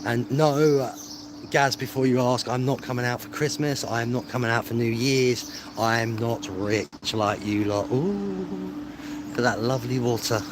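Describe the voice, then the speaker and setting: A man's voice: medium pitch, moderate pace. A man chatting casually to a live stream, rambling and matey, with a self-deprecating way of talking. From England. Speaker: livestreaming travel vlogger. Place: outdoors on streets, walking. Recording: clear outdoor street noise, traffic.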